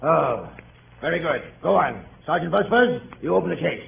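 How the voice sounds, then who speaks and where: An actor playing a doddering, absent-minded old judge in a 1930s radio drama. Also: male voice, a little high, very animated, moderate pace, creaky, exaggerated voice. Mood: muddled, imperious. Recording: tinny, noisy 1930s radio, distant and echoey.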